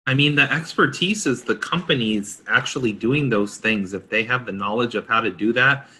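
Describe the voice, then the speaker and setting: A man's voice: medium pitch, moderate pace, pushed and bright. A man speaking as a consultant on a panel, explaining requirements in an informal discussion.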